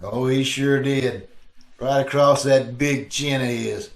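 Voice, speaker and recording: A man's voice: mid-range voice, steady tone, slow. Man acting cocky 1940s radio sleuth, roomy echo. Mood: smug, wisecracking. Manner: wisecracking, slangy. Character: Blackie, cocky, quick-witted male amateur sleuth. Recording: roomy, echoing video-call audio.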